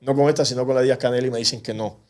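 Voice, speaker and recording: A man's voice: mid-range voice, steady tone, a little mellow. A man speaking passionately and indignantly as an activist guest in a TV interview. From Cuba. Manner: passionate, indignant, rapid bursts. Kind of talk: animated TV interview.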